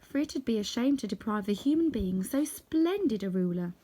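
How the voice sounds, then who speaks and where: A woman's voice: on the high side, expressive, a little fast, dark tone. Woman playing a kind, regal good witch. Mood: assured, gracious. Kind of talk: children's storybook read-aloud.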